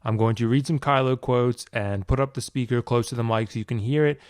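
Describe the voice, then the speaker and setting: Male tutorial presenter, informal and conversational, crisp tone, dry recording. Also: medium pitch, fairly even intonation, fairly quick, a little mellow, dry recording. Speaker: tutorial video maker. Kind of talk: casual hands-on app tutorial.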